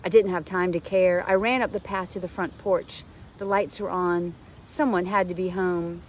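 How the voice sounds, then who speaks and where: A woman's voice: fairly low pitch, steady tone, moderate pace, slight background noise. A woman reading a children's novel aloud like a teacher, with dramatic, suspenseful expression. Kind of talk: expressive storybook read-aloud.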